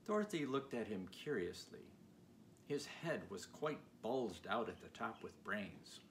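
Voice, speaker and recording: A man's voice: on the low side, very animated, unhurried. A man reading a children's storybook aloud as narrator. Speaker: audiobook reader. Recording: clear background noise.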